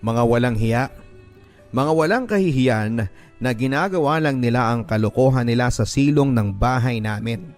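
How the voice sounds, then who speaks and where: A man's voice: medium pitch, darkish tone, natural voice. A man voicing a betrayed, bitter young mother in a dramatic, emphatic radio drama narration. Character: betrayed young mother, wronged and bitter, telling her story. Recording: music under the voice.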